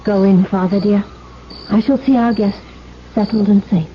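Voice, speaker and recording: A woman's voice: mid-range voice, steady tone, moderate pace, natural voice. An actress playing a bold, self-possessed young lady, a romantic heroine speaking formally in a 1970s radio drama. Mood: poised. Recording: muffled, noisy 1970s radio broadcast.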